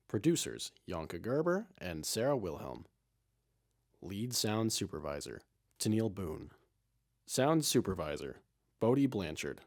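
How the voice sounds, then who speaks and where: A man's voice: lowish voice, darkish tone. A man reading scripted end credits as a series announcer.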